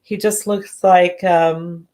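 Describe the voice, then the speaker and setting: A woman doing live psychic readings who sounds congested and talks in halting bursts, often restarting and correcting herself. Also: on the low side, fairly even intonation, dark tone. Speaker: psychic medium. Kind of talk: live-stream readings, casual chat.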